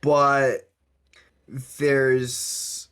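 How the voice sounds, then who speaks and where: A man hosting a podcast in casual discussion, speaking in a halting, measured way with careful qualifications. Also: medium pitch, flat delivery, a bit bright, clean tone. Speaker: podcast host.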